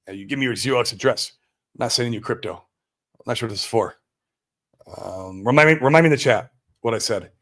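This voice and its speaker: A man's voice: medium pitch, a little fast, pauses often, a bit bright. An American man hosting a livestream, chatting casually and answering viewers in a slangy, exclamatory way. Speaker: livestream host. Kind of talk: casual chat, answering viewer comments live.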